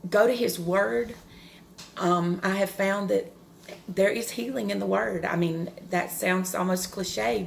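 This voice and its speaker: Earnest woman with a loud, carrying voice. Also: medium pitch, vocal fry, a little hiss or hum. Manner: earnest and open, with pauses and restarts. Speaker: devotional speaker. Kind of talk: personal devotional talk.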